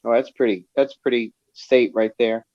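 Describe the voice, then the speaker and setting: A man's voice: medium pitch, fairly even intonation, moderate pace, lo-fi sound. A man co-hosting a call-in chat, bantering playfully and teasing the guest. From Baltimore area, US. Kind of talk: casual chat on a call-in show.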